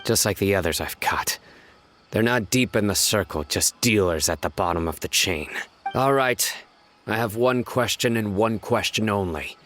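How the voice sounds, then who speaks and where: A man voices a grim game lawman with a very low, deep voice. He speaks curtly and formally, issuing commands and questions without softness. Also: moderate pace, soft background music, close to an everyday voice. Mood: stern. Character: stern young male lawman, grim and relentless. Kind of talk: video game voice acting.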